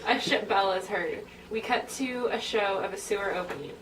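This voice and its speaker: A woman's voice: mid-range voice, moderate pace. Woman reading out script narration, amused and informal, noisy room, mic a bit far. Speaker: reader of stage directions. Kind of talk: amateur script read-through, narrating.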